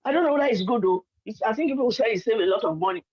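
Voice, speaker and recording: A woman's voice: a little high, lively intonation, racing, a little mellow, husky. An older Nigerian woman with a Nigerian accent, arguing politics as a caller, insistent and talking over the host. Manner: insistent, talks over the host, repeats herself. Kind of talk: heated political call-in argument. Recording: muffled lo-fi line.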